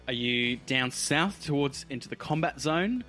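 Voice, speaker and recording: A man's voice: mid-range voice, lively intonation, moderate pace, sharp tone, faint music behind. A man narrating a tabletop roleplaying game as game master, informal and descriptive.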